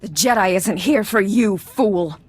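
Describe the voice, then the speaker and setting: A woman's voice: mid-range voice, a little slow, lightly acted. An actress playing a cold, haughty noblewoman villain in a video game, threatening and fanatical. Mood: cold menace. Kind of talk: dramatic video game acting.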